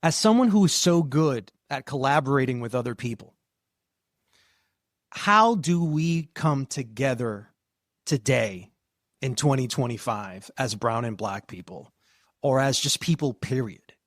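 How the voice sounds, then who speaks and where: A man hosting a podcast, asking measured questions and closing the show. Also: medium pitch, animated, no room sound, studio quality. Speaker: podcast host. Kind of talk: podcast interview and outro.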